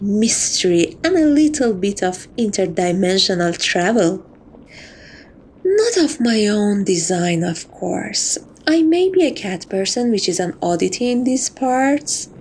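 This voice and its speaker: Woman doing a doting seductive catgirl, slow soft coaxing asmr roleplay, noisy background. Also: mid-range voice. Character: seductive, possessive catgirl thief doting on her captive.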